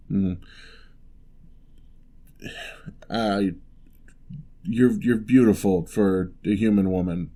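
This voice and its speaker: A man playing a huge, gentle, courteous giant in an ASMR roleplay, speaking softly with wry, teasing, old-fashioned politeness. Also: on the low side, close to an everyday voice. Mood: apologetic, teasing. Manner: unhurried, soft-spoken, old-fashioned courteous phrasing.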